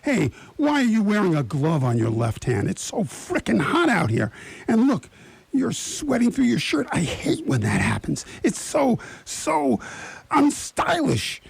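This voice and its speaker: A man playing a nervous, vain, fussy schemer in a radio play, with a raspy breathy voice and a dithering, dramatic manner. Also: sits high, huge pitch swings, a little slow, no echo, full character voice. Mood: flustered, prissy. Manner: dithering, dramatic self-questioning, dropping into whispers. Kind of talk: radio theatre acting.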